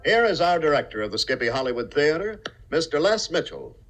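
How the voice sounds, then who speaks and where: A man's voice: on the high side, big pitch swings, fairly quick, fairly bright tone. A man announcing and reading ads in 1950s radio style, emphatic and mock-serious. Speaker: announcer and pitchman. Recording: vintage radio broadcast, faint music.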